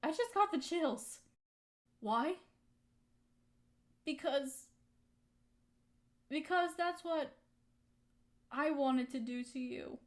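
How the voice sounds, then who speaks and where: A woman acting a high-voiced, emotional young woman. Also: drawn-out words, long pauses. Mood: tender, confessing. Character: hurt young woman softening toward her best friend and crush. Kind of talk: intimate roleplay audio drama monologue.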